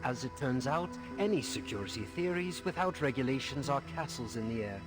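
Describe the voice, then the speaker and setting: An actor voices a self-assured, dismissive company executive in a video game. His man's voice is mid-range and his delivery formal and pompous. Music is clearly audible behind him. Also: mid-range voice, expressive, brisk, lightly acted. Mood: grave, self-assured. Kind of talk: scripted interview speech in a video game.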